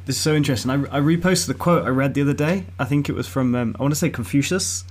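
A man's voice: medium pitch, fast talker. A man hosting a podcast, chatting eagerly in a loose, casual way. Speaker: podcast host.

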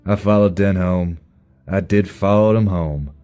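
A man voicing a shifty gangster henchman who talks roughly and repeats himself. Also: low voice, measured pace, mellow, faint music behind, voice pushed up. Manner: rough, repetitive.

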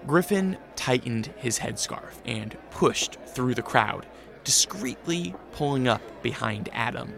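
Gravelly male radio drama narrator. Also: mid-range voice, lively intonation, takes it slowly, fairly bright tone.

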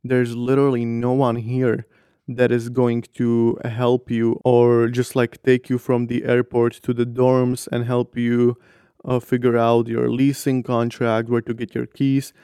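Young Slovak man, flat and slightly hoarse. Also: mid-range voice, a little slow, no room sound, studio quality. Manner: earnest, candid, uses many fillers like "like". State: slightly hoarse from long talking. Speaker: podcast host. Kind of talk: casual solo podcast talk.

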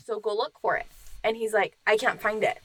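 A woman co-hosting a casual podcast chat, with a low, slightly raspy voice that is still a bit hoarse, telling stories with lots of animation. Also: a little fast, rough recording. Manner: animated storytelling, laughing often. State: slightly hoarse after losing her voice. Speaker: podcast co-host.

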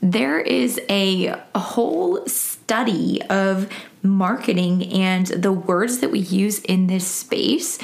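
A woman's voice: mid-range voice, takes it slowly, vocal fry. A woman hosting a podcast, talking in a casual, upbeat and eager way. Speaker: podcast host.

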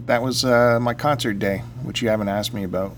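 A man's voice: mid-range voice, steady tone, brisk, slight background noise. A man co-hosting a casual podcast, teasing his friend, laughing easily and pressing him with questions. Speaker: podcast co-host. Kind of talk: casual banter.

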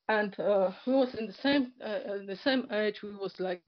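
A woman from Poland with a Polish accent, a guest in an interview, telling a personal story in casual speech. Her first language is Polish, and she talks hesitantly, with many fillers, second-language grammar and frequent soft laughs. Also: mid-range voice, measured pace, dry recording. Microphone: online call. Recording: dull, lo-fi internet call audio.

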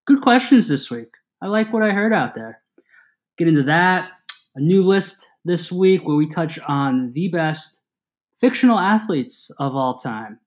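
A young man in his twenties hosts a sports podcast. His voice sits a touch high, and he talks in a lively, teasing way, full of quick asides. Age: 20s. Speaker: podcast host. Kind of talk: casual sports podcast chat.

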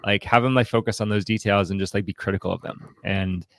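A man hosting a podcast, discussing in a casual way, haltingly, often repeating words and saying "like". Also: on the low side, steady tone, a bit bright, no echo. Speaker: podcast host.

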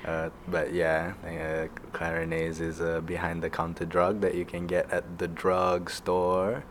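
A man's voice: sits low, completely flat delivery, a little hiss or hum. A young man with a Malaysian accent co-hosting a podcast, joking around with wordplay and hesitating with fillers. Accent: Malaysian English. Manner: jokey wordplay, halting with fillers. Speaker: podcast co-host. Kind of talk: playful casual chat mixing English and Malay.

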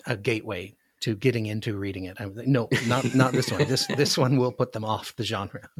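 A man speaks as a guest in a relaxed, conversational book discussion. His voice is on the low side with a husky grain, and it is recorded dry, without room sound. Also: brisk, no echo. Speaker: podcast guest, fellow science fiction podcaster. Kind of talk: casual book chat.

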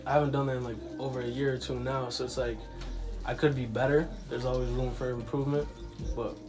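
A man's voice: medium pitch, little pitch movement, fast talker. Laid-back young man, music behind. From Massachusetts. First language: Ukrainian. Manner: laid-back, filler-heavy, laughs often. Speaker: guest rapper. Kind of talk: casual podcast chat.

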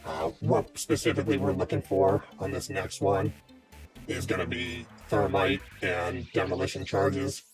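Man as a deep, cool underworld weapons buyer. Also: flat delivery, over music. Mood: businesslike, cordial. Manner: measured, businesslike, smooth negotiator. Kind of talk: improvised tabletop role-play.

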